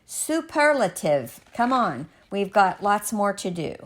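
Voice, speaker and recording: A woman's voice: medium pitch, very animated, slow. Lady doing a jolly bustling old balloon guy from a picture book, exuberant, declaims in rhyme, takes his time. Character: jolly, bustling old balloon-flying man, soup supervisor.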